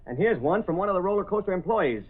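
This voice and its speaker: An eager, wisecracking young reporter, high-pitched and animated. He has the slangy punch of old radio drama, heard through narrow, tinny sound. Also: fast talker, breathy edge. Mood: eager, cocky. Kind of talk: 1930s-40s radio drama acting. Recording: tinny, band-limited old radio recording.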